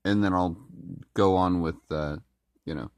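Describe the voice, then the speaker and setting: Very deep-voiced, halting speaker. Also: male voice, steady tone, smooth, no echo. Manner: halting, many restarts and fillers. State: a little sick, throat-clearing. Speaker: vlogger. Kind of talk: casual monologue to camera.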